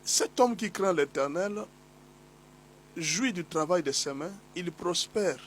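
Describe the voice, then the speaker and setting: A man with a somewhat high voice delivers a sermon while fighting a cold, his tone slightly hoarse. He drives his points home through repetition and rising exhortation. A faint hum sits under the recording. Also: expressive, slow pace. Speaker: pastor. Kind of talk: sermon teaching.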